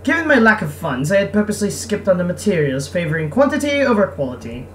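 A woman giving casual game commentary and reading game text aloud, speaking haltingly and repeating words. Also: lowish voice, sing-song. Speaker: game streamer. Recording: noisy, distant microphone.

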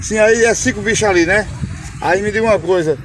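A man, hosting his channel and chatting casually with sellers at an outdoor animal fair, friendly and quick to laugh at himself. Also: high-pitched. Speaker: channel host filming a livestock fair. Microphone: phone camera held by the speaker. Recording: phone video with outdoor street noise.

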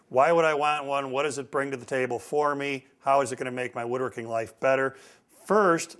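Middle-aged American man teaching woodworking. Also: medium pitch. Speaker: woodworking instructor. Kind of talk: instructional demonstration to camera. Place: indoor woodworking shop. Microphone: lapel mic.

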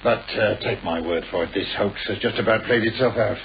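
An actor playing a genial, unflappable police inspector in a radio play, with a British accent and a deferential, hesitant, soothing manner. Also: male voice, on the low side, fairly quick, gravelly. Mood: reassuring. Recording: dull, hissy old radio recording.

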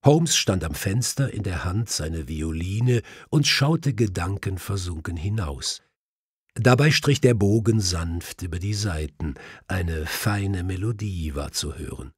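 A man reading literary first-person narration for an audiobook in a measured storytelling manner. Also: sits low, lively intonation, slightly rough, no echo, studio quality.

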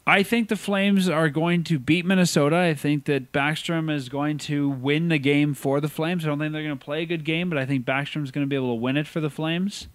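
A man's voice: fairly high pitch, steady tone, a little fast, hardly any pauses, dry recording. A man hosting a casual sports podcast, chatting in an upbeat way about hockey. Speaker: podcast host.

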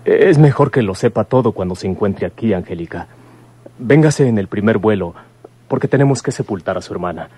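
A man playing a grave, considerate son in a radio drama, speaking politely and haltingly. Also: fairly low pitch, expressive, dark tone, slightly rough. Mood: somber. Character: grave, considerate son breaking bad news.

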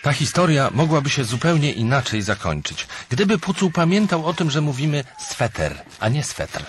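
A man's voice: fairly high pitch, very animated, moderate pace. A man reading scripted humorous narration in a didactic, wry manner, with music underneath.

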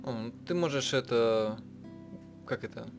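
A man narrating a visual novel playthrough as a live translator, haltingly, rephrasing as he goes. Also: medium pitch, over music. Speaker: let's-play narrator and translator.

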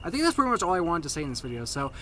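A young man who stutters, giving a casual update to camera in a halting way, repeating and restarting his phrases. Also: on the high side, big pitch swings, sharp tone, slight background noise. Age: young adult. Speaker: video maker giving a channel update. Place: outdoors at night on a paved path.

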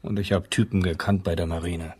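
Wanted: radio-play keeper with a navy past, rough husky tone, blunt and disdainful. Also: male voice, deep voice, level tone, a little fast, darkish tone. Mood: scornful. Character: blunt, scornful male lighthouse keeper, ex-navy. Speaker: actor in an audio drama. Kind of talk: German crime radio play acting.

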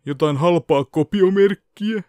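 A man's voice: high voice, mellow, no echo, clean studio sound, lightly acted. A man voicing a laid-back, grumbling adult ninja teacher in an anime parody dub. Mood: unbothered.

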